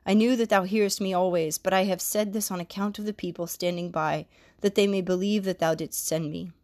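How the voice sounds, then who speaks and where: A woman reading the lines of a calm, solemn male teacher in a measured, plain manner. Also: medium pitch, no room sound, clean studio sound, lightly acted.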